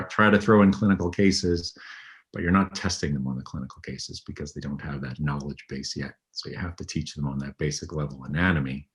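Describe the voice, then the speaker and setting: Very low-voiced man, even and unhurried. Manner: low, even, unhurried talk with frequent ums. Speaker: veterinary radiologist and teacher. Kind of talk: conversational interview.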